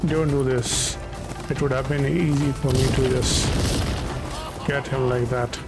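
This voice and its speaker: Male game streamer: casual play-by-play, mid-range voice, desktop mic, loud soundtrack behind. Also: medium pitch, steady tone, unhurried, loud music behind the voice. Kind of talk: casual live gameplay commentary. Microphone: desktop USB microphone.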